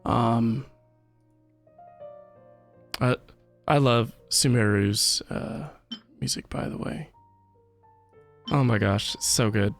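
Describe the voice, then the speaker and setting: Male streamer chatting over clear game music. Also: mid-range voice. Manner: rambling, thinking aloud, talking to viewers. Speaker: livestream host playing a video game. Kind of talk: casual livestream chat. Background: video game music.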